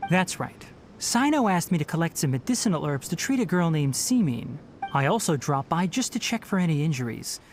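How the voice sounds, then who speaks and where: Young forest healer for a game: animated intonation, articulate, frank, instructive, faint music underneath. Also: male voice, a little high, brisk. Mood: calm, matter-of-fact. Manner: articulate, instructive, mildly chiding. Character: gentle but frank young male forest ranger healer. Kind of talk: anime game voice acting.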